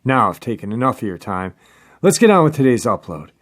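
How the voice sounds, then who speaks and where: A man's voice: medium pitch, big pitch swings, a little fast. A man speaking as the host of an interview show, introducing things and addressing listeners. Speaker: host of a paranormal podcast.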